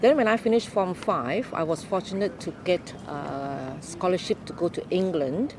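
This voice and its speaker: An older woman from Malaysia telling her life story in an unhurried, explaining way during an interview. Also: on the low side, background noise. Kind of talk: oral history interview.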